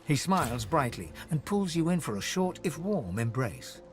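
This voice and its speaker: A man playing a calm storyteller who narrates a fantasy video game in a measured, descriptive way. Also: on the high side, faint music behind. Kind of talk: scripted narration.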